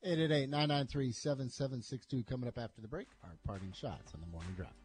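A man's voice: mid-range voice, quick pace, a little mellow. A man hosting a sports talk radio show, telling stories in a casual, conversational way. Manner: conversational, storytelling.

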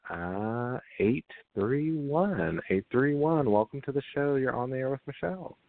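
A young man hosting a call-in show, talking casually and haltingly with many fillers and restarts. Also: medium pitch, expressive, vocal fry. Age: young adult. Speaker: radio show host. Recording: phone-like narrow sound.

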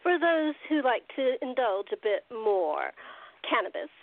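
A woman's voice: on the high side, sing-song, measured pace, phone-quality sound, noisy background. A woman playing a rich, reckless forty-year-old film diva in a 1920s radio play, gushing, coquettish and full of drawled endearments. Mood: sly, languid.

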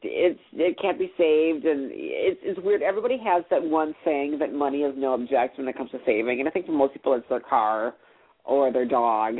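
A man's voice: medium pitch, animated, normal speed, slightly rough. A young man co-hosting a casual chat show, rambling anxiously with lots of restarts and fillers. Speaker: podcast co-host. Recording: tinny phone-like sound.

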